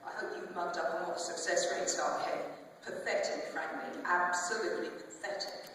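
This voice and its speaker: A man's voice: high voice, normal speed, bright, forceful tone. A woman voicing a smug, condescending middle-class man in a dramatised novel reading, recorded from far off in an echoing theatre. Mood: scornful. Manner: condescending, rhetorical. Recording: echoey theatre hall from far off, faint noise.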